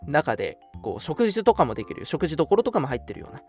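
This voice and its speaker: A man's voice: on the high side, wildly swooping pitch, fast, a little mellow, soft background music. A young man hosting a casual radio chat, speaking in a polite presenter's way and often breaking into laughter. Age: young adult. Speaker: radio show host.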